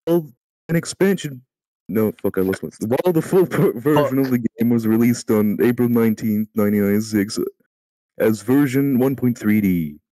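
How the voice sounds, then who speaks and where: A man reads an article aloud in a mellow, relaxed voice with a dry, close sound. He trips over words, repeats himself and swears casually as he goes. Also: mid-range voice, moderate pace, no echo, rough recording. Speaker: amateur reader in a group voice call. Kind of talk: reading an encyclopedia article aloud.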